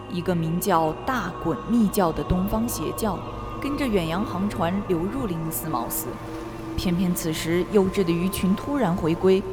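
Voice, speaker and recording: A woman's voice: fairly low pitch, lightly acted. A woman playing an earnest, pious scholar who explains things formally and disapprovingly in a radio drama. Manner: formal, expository. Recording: long hall reverb over music.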